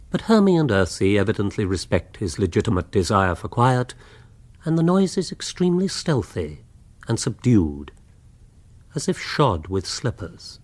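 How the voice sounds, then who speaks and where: Urbane, low-voiced English narrator. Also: male voice. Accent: refined English. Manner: dry, arch, polished. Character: urbane, wry English author narrating his tale. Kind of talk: scripted radio drama narration.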